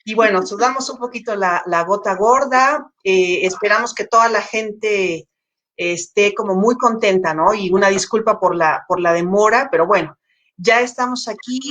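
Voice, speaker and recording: A woman's voice: medium pitch, normal speed, a bit bright. A woman interviewer hosting an interview over a video call, chatting in a rambling way full of fillers. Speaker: interviewer, host.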